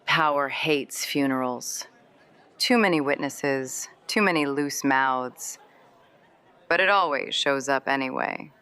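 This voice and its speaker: An actress playing a sardonic, powerful mother in a noir audio drama, with an arch, deliberate delivery and veiled menace. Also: rumbling low, a bit bright, clean tone, exaggerated voice. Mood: cool menace.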